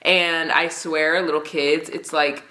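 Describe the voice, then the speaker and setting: Rambling, chatty woman with a low voice, bright and congested, home vlog recording with an echo. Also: little pitch movement, takes it slowly. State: congested, stuffy nose. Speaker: book vlogger. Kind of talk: casual book review chat. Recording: roomy echo.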